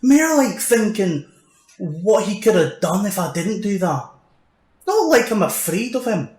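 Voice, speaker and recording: A man playing a gruff, cocky older soldier in an audio drama, blustering and colloquial, recorded with an echo. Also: high voice, sing-song, a little slow, darkish tone. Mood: scornful. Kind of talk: fan audio drama acting. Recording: echoey, reverberant room sound.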